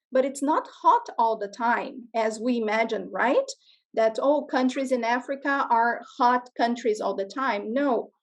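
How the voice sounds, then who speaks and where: High-voiced woman with a Brazilian accent. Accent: Brazilian Portuguese. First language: Portuguese. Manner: patient, often checks in with "okay?". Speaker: presenter teaching a class. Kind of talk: interactive classroom lesson. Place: classroom with students.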